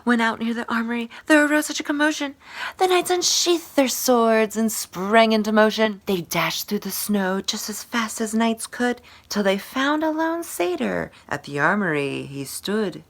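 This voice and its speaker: A woman's voice: a little high, lively intonation, normal speed. A woman author presenting and reading a storybook aloud in a theatrical, rhythmic way. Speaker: author promoting and reading own book. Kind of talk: storybook read-aloud and promotional talk.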